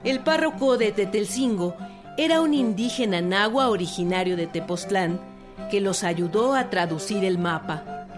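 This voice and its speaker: A woman narrates a historical radio drama from a script. Her voice has a medium pitch, and music plays clearly behind her. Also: moderate pace.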